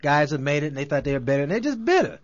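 A man's voice: a little high, rapid-fire. A man hosting a comedy radio show, joking and hyping his guests. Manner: hyped, joking, animated. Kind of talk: casual interview chat. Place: radio studio. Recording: dull lo-fi studio sound.

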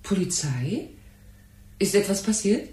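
A woman's voice: medium pitch, lively intonation, measured pace, dark tone, close to an everyday voice. An actress playing a genteel, fretful older mother in a crime radio drama, speaking politely. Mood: dismayed. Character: genteel, anxious older mother of a store boss. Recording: roomy echo with faint noise.

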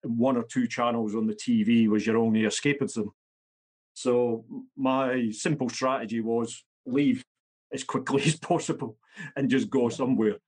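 A man's voice: mid-range voice, fairly even intonation, normal speed, darkish tone, breathy edge. Blunt Scottish man in his fifties. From Scotland. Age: 50s. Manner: blunt and matter-of-fact. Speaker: guest. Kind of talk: podcast interview.

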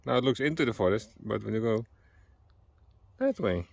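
A man's voice: lowish voice, animated, normal speed, vocal fry, no room sound. A man whose first language is Dutch, chatting playfully while walking in a forest and searching for English words. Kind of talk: casual chat. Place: outdoors in a forest.

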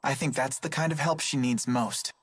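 An actor playing a gentle, caring, hesitant teenage boy in a video game, earnest and youthful. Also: mid-range voice, moderate pace, husky, no echo, close to an everyday voice. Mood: earnest, unsure. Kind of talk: English game voice acting.

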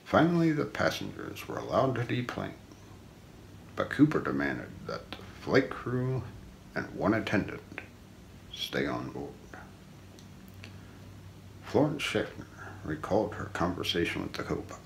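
A middle-aged man reading a scripted true-crime story as ASMR role play, in a measured, solemn documentary manner. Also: sits low, huge pitch swings, creaky, slight background noise, poor audio. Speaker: narrator of an unsolved-mystery story. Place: small indoor room.

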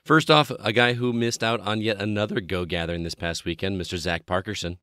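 Slightly hoarse, low-voiced male podcast host. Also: fairly even intonation, a little fast, a bit bright, no room sound, clean studio sound. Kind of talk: casual podcast chat.

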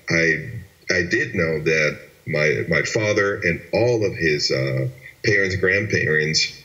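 An earnest man reflects on his life as an interview guest. His voice sits extremely low yet has a forceful, bright edge. The recording sounds dull and lo-fi. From Venezuela. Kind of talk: personal testimony interview.

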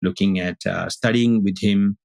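A middle-aged man from Singapore, a teacher speaking as a podcast guest over a video call, thoughtful and halting with many restarts. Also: on the low side, fairly even intonation, vocal fry, darkish tone, no room sound. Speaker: guest, Advaita Vedanta teacher. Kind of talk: casual interview talk. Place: indoor room on video call.

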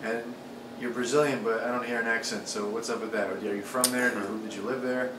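Male interviewer, brisk and upbeat, mid-range voice, recorded off-mic in a reverberant room. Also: mid-range voice, fairly even intonation. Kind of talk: casual interview questions. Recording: echoey room with background hum.

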